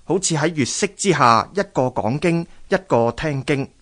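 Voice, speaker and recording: A man's voice: a little high, expressive, moderate pace, a bit bright. A man with a Hong Kong Cantonese accent narrating a scripted story for a radio drama.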